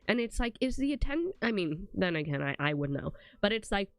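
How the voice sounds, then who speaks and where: A woman's voice: medium pitch, sing-song, fairly quick, dry recording, soft background music. A young woman with a congested voice chatting casually to her live stream, rambling with lots of "like" and "um" fillers. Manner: rambling, full of "like" and "um".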